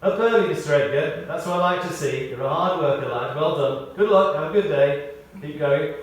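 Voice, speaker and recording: A man reading a prepared tribute aloud in short, measured phrases, with wry storytelling. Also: on the high side. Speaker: tribute speaker. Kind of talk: read-out memorial tribute with anecdotes. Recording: echoey hall, distant, faint noise.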